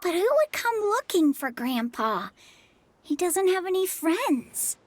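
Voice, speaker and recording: A woman's voice: very high-pitched, very animated, takes it slowly, exaggerated voice. A woman voicing a timid, earnest young village child in a video game, with a hesitant way of talking. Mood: earnest, defensive.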